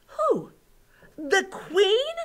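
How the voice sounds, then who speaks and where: A woman voicing an indignant, excitable and determined young girl from a storybook. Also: sits high, measured pace, pitched above the performer's own voice, heavily acted. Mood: angry, aghast. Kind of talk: storybook character read aloud.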